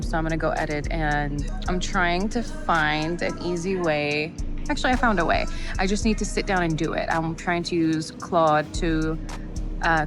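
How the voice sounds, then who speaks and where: A young woman whose first language is Arabic, chatting enthusiastically in a casual, rambling vlog. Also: deep voice. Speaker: vlogger. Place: airport before a flight. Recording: background music clearly heard.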